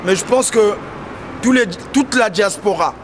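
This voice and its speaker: A man's voice: sits high, unhurried. A man answering a street interviewer, urging and repeating himself with fervour, now and then dropping into English. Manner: exhorting, repetitive, mixes in English. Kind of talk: impassioned street vox pop. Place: busy city street outdoors. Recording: loud street noise.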